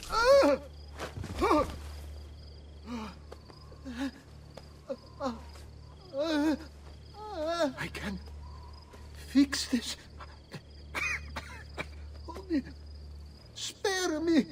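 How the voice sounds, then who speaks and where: High-pitched, cowardly conspirator. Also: male voice, huge pitch swings, slight background noise, exaggerated voice. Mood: terrified pleading. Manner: halting, stammering. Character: cowardly, pleading, excuse-making conspirator underling. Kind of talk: cinematic video game acting.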